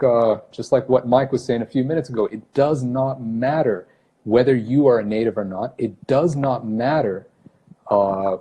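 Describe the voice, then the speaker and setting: Mellow-voiced man chatting on a phone livestream. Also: medium pitch, slightly rough, poor audio. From Brunei. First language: not English. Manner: chatty, self-correcting, greets viewers by name. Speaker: English teacher hosting a livestream. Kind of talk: casual livestream talk to viewers. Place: small office room indoors. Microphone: phone camera at arm's length.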